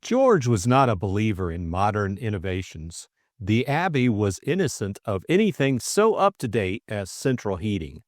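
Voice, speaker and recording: A man's voice: on the low side, sing-song, normal speed, no room sound, clean studio sound. A man reading a scripted story recap and novel as an audiobook co-narrator, in dramatic style.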